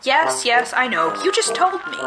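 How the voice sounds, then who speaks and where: A woman playing a wry, down-on-their-luck androgynous private detective in a musical adventure game, musing and deadpan. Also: high voice, moderate pace, creaky, heavily acted. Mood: hesitant. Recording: loud music behind voice. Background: loud music.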